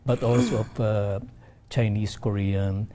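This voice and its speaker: A man from the Philippines, a guest on an interview show, explaining in halting talk with many restarts. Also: on the low side, steady tone, mellow, a little hiss or hum. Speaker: event representative guest.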